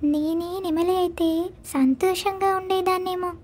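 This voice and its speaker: A discontented bird from a children's animated fable, played by a woman. The voice is high and childlike in pitch with a soft, mellow tone. It talks in a complaining, self-deprecating way. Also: normal speed. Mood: modest, wishful. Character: discontented forest birds: swan, parrot, caged peacock. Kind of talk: children's animated moral story.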